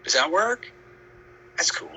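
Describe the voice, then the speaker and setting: A man's voice: measured pace, sharp tone, slight background noise. A man playing a lazy, laid-back young pig who only wants to dance, speaking in a casual slacker way. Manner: slacker drawl, casual, noncommittal.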